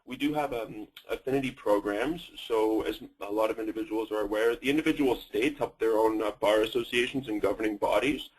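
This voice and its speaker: A man's voice: on the low side, fairly quick, few pauses, breathy edge, rough recording. A man with a Canadian accent presenting a company in a webinar, talking with many fillers and restarts.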